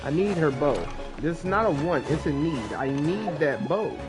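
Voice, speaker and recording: Slangy man with a higher voice gaming. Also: expressive, normal speed. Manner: slangy, playful. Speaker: gaming streamer. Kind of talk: casual gameplay commentary. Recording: game music behind voice.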